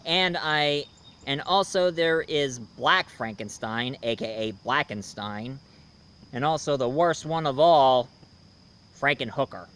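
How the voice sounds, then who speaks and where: A man giving a casual, unscripted movie review as an online host, rambling and halting as he goes. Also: medium pitch, lively intonation, fairly bright tone, clear, resonant tone. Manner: rambling, halting, hesitant delivery.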